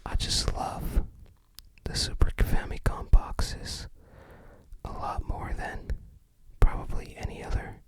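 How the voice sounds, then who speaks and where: An ASMR host narrating an unboxing in a breathy, unvoiced whisper, with gentle, rambling commentary. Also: takes it slowly, no room sound.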